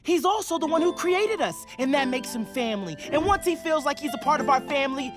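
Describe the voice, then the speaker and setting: A man voicing a sunny, excitable teenage turtle in a cartoon, upbeat and coaxing, with theatrical flourishes. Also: very high-pitched, fairly quick, over music. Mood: upbeat, persuasive. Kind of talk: animated cartoon voice acting.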